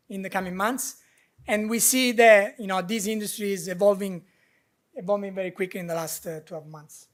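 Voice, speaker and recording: Man pitching a startup to investors. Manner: slightly halting, with fillers and small grammar slips.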